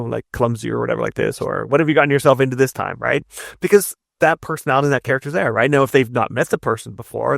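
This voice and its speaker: A man with a low-creaking, gravelly voice talks like an instructor in an interview. He explains emphatically, doubling back on words and tagging points with "right?", in a dry, close sound. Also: no echo. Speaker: narrative design instructor, podcast guest. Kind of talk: conversational interview, explaining craft advice.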